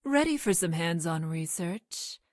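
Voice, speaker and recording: An actress voicing a coldly clinical scientist from the future in a video game, with deadpan, formal, precise diction. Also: female voice, fairly low pitch, measured pace, dry recording, studio quality. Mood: deadpan, matter-of-fact. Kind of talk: scripted video game character lines.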